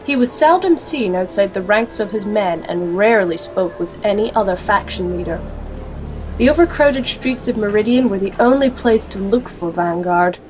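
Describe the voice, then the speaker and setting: A woman's voice: medium pitch, lively intonation, normal speed, darkish tone, lightly acted, poor audio. An actress playing a determined female alchemist warrior, serious and resolute, in a scripted fantasy audio drama. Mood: solemn narration. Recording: music under the voice.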